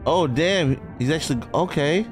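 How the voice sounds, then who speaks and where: A man's voice: a little high, normal speed, music in the background. A young man giving casual, exclamatory, sweary movie reaction commentary, close on the microphone. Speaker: reaction video host. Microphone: close microphone on boom arm, headset on. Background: film soundtrack playing.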